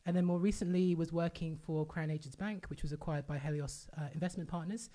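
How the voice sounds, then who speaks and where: Woman host, deep voice, brisk pace, enthusiastic and chatty. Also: fairly even intonation, dark tone. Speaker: podcast host. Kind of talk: casual podcast conversation.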